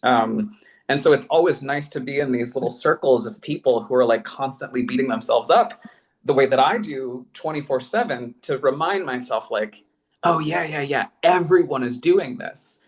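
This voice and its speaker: A man's voice: medium pitch, normal speed. Effusive, self-deprecating man in conversation. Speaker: panel guest, writer. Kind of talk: casual reflective panel conversation.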